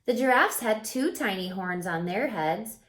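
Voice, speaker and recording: Woman, children's story read-aloud, teacherly, unhurried, very animated intonation, roomy echo. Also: mid-range voice. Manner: expressive, pausing to ask listeners questions.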